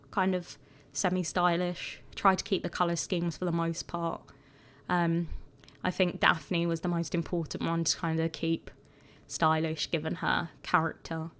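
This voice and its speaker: Woman, a bit croaky, lowish voice, rambly casual chat with lots of likes and ums. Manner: chatty and rambling, full of "like" and "um". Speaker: gaming video creator. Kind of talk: casual voice-over commentary.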